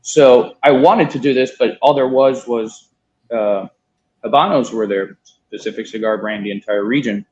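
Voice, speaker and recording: A man's voice: medium pitch, moderate pace, a little mellow. A man in his thirties from Miami telling a long story in a casual interview, swearing now and then and quoting others. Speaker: guest, cigar importer. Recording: dull lo-fi sound.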